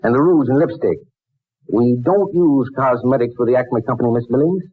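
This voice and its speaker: An actor playing a courteous, fussy elderly office boss with a husky voice and halting manner, in 1940s radio drama style. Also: male voice, medium pitch, lightly acted. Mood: kindly, fussy. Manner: halting, chuckling, fussily polite. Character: courteous, old-fashioned elderly male office boss, hard of hearing. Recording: tinny, band-limited 1940s radio recording.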